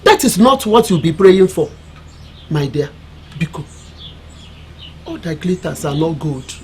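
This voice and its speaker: A woman's voice: low voice, very animated, measured pace, a little hiss or hum. An actress playing a middle-aged village mother with a Nigerian Igbo accent, giving frank, admonishing counsel in a film drama. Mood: knowing. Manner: proverbial, admonishing, emphatic. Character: worldly-wise village mother counselling her daughter, frank and knowing.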